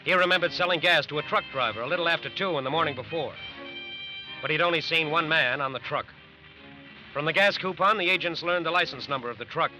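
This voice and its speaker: An actor as the earnest, dramatic narrator of an old radio crime drama, emphatic and deliberate. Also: male voice, on the high side, bright, forceful tone, soft background music, poor audio. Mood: urgent, factual. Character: earnest, dramatic male narrator of FBI manhunt. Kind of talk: scripted narration, 1940s-50s radio drama.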